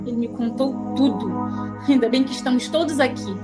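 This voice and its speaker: Righteous wronged goddess woman acting, very high register, solemn denouncing delivery, audio drama under loud music. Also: fairly quick, close to an everyday voice. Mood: bitter accusation. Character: wronged goddess daughter, righteous, accusing, protective mother. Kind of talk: mythological comedy audio drama.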